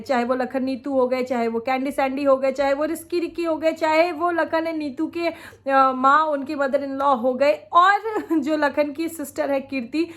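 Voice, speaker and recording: Chatty high-voiced woman vlogger with a cold. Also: a bit bright. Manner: chatty and opinionated, laughs often. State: sore throat from a cold. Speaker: vlogger reacting to other creators. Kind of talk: casual reaction vlog commentary.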